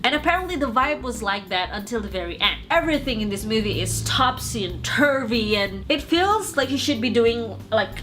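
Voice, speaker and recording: A woman's voice: on the high side, a bit bright. A young woman from Indonesia, speaking English with an Indonesian accent, chatting casually and enthusiastically as a movie reviewer on her channel, rambling a little as she goes.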